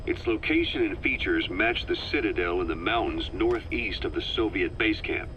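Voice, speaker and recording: A man's voice: sits low, animated, moderate pace, a bit bright, dull audio, a little hiss or hum. A man voicing a stern middle-aged military officer giving a mission briefing over the radio in a video game.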